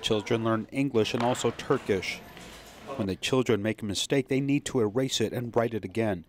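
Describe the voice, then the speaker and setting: Low-voiced male news narrator. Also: normal speed, noisy background.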